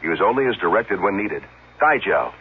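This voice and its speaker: A man's voice: low voice, very animated, normal speed, husky. A man reading a scripted radio advertisement as an announcer, with an emphatic selling delivery. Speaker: commercial announcer. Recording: narrow-band vintage radio broadcast.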